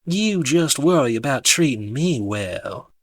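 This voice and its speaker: A man's voice: a little high, very animated, slow, a bit bright, no room sound, full character voice. A man voicing a sassy, possessive Southern belle with a purring Southern US accent.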